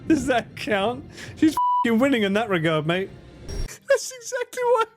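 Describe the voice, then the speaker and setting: A man's voice: very high-pitched, huge pitch swings, measured pace, over music. A man streaming live, chatting casually and crudely, laughing often.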